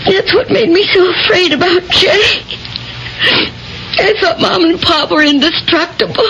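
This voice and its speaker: A woman's voice: very high voice, measured pace, a bit bright, full character voice. An actress playing an affectionate, anxious young woman, a kid sister, in earnest old-time radio drama style. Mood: grieving, anxious. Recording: dull, noisy radio drama recording.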